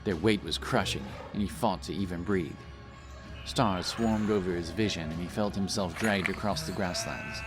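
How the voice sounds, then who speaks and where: A man reading a scripted fantasy story as narrator, in a dramatic, solemn and measured style. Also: sits low. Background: music.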